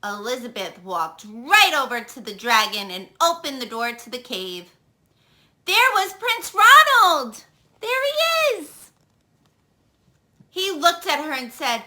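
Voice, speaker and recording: Lady reading a kids book out loud, slow n expressive, really bright voice, asks the kids stuff. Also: sits high. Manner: expressive, asks the young listeners questions. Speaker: storyteller. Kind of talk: animated children's read-aloud.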